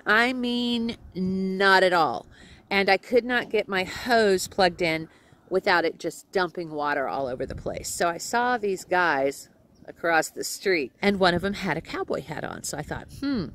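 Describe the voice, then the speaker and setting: Chatty Texan woman with a bright voice. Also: lowish voice. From Texas. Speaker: RV travel vlogger. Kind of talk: casual selfie vlog chat. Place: outdoors at an RV campground. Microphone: phone camera at arm's length.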